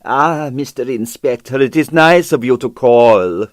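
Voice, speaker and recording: A man's voice: medium pitch, a little slow, no room sound, studio quality, close to an everyday voice. A man voicing a vain, fawning Russian male ballet dancer in an audiobook, with an effusive theatrical manner. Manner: effusive, theatrical, self-regarding. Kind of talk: acted audiobook character.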